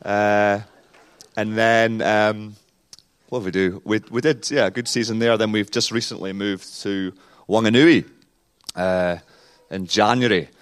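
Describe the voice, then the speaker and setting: A man's voice: lowish voice, fairly even intonation, unhurried, clear, resonant tone. Scottish-born man with a Kiwi accent. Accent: New Zealand with Scottish traces. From Scotland. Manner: rambling and self-deprecating, with many fillers. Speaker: pastor and interview guest. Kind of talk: casual interview talk in a church service.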